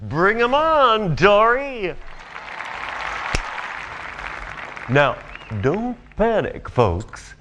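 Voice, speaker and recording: An actor playing a smarmy, gleefully sadistic host of a futuristic TV race show, theatrical, mock-cheerful and sardonic. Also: male voice, high-pitched, huge pitch swings, very slow, lo-fi sound, crowd noise, background noise, exaggerated voice. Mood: showy, menacing glee. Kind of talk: theatrical game show presenting.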